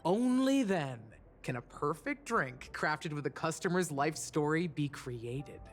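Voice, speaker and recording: A woman's voice: low voice, expressive, measured pace, faint music behind. A woman playing a flamboyant, flirtatious bartender in a video game, with showy, theatrical salesmanship. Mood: grand. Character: flamboyant, flirtatious female dream-bar bartender. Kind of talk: video game character acting.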